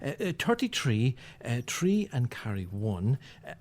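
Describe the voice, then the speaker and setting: A man's voice: sing-song, unhurried. An actor with an Irish accent playing a timid male clerk in a radio play, stammering nervously and deferring at every turn. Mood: anxious. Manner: stammering, nervous, jumps high in pitch.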